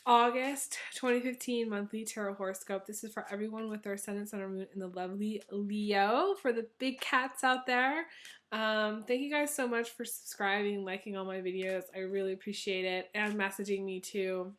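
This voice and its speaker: Hoarse, chatty young woman reading tarot. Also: medium pitch, fairly even intonation, moderate pace, fairly bright tone. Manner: chatty, rambling, many ums. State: hoarse, losing her voice. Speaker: tarot reader. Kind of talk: casual tarot reading vlog.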